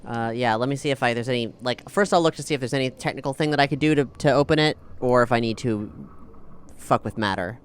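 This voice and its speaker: A woman playing a tabletop game, talking quickly and playfully and often laughing. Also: extremely low pitch, a little hiss or hum. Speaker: player. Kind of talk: casual roleplay chat.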